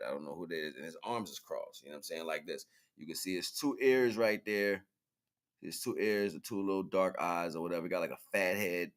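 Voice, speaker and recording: A man's voice: low-pitched, a bit bright. A man hosting a livestream, talking casually and informally through pictures, rambling and often checking in with the listener. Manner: informal, rambling, repeatedly checking in with "right?" and "you know what I'm saying". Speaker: livestream host.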